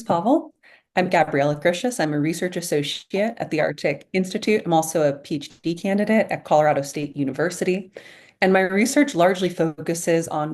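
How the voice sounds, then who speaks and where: A woman's voice: deep voice, a little mellow. A young adult woman from the United States, a PhD candidate, introducing herself concisely on a panel over a video call.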